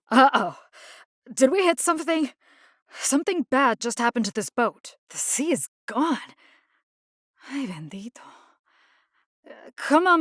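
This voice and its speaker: A woman's voice: fairly high pitch, no echo, studio quality. A woman voicing a plucky, caring video game combat engineer, casual and wry. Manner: casual, wry, expressive, slipping into Spanish slang. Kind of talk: video game character voice lines.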